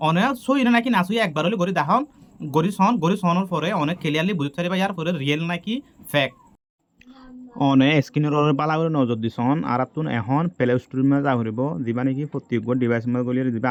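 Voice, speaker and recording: Fast-talking man with a Rohingya-Chittagonian accent. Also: high voice. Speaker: tech tutorial video creator. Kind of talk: informal how-to explanation for social media.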